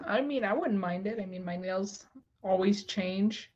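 A woman's voice: medium pitch, unhurried, darkish tone. A woman from Texas co-hosting a podcast, chatting casually and in a rambling way as a fan, on dull lo-fi audio. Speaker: podcast co-host.

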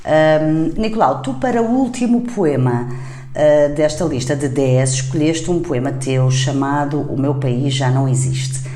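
A woman's voice: low-pitched, a little slow, dark tone. A woman hosting a poetry conversation, reading and talking about verse, recorded in a roomy space. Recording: roomy echo, faint background noise.

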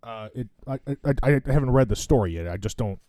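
A man chats casually as a podcast co-host. His voice is relaxed and warm in tone, with plenty of melodic movement. He needles the others with sarcasm. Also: mid-range voice, quick pace, dry recording. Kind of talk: casual banter.

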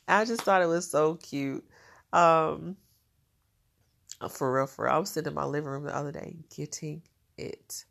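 A woman's voice: sits low, moderate pace, creaky, fairly bright tone. A woman with a nasal, stuffed-up voice talking in a casual diary vlog, chatty and laughing often. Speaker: vlogger.

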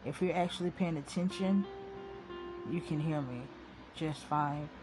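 A woman with a soft, low voice hosts an unscripted solo podcast and talks straight to her listeners. Her chat is loose and rambling, full of slang. Guitar music plays loudly behind her. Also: measured pace. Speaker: podcast host. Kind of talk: casual solo podcast monologue. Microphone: phone.

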